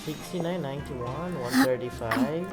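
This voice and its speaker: A man's voice: a little high, moderate pace. A man giving casual gameplay commentary in an offhand, fragmentary way. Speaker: gamer narrating own gameplay. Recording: loud game music under commentary. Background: loud game music.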